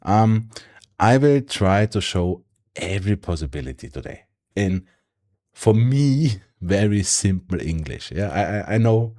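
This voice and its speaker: A man with a German accent and a very low voice walks through price charts in a relaxed, conversational way. He speaks English as a second language, with frequent ums and a habit of ending points with a questioning "yeah?". He sounds like a regular host explaining his method to viewers. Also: measured pace. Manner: tag questions and fillers, yeah, um. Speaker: stock market YouTuber. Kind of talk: casual screen-share market analysis.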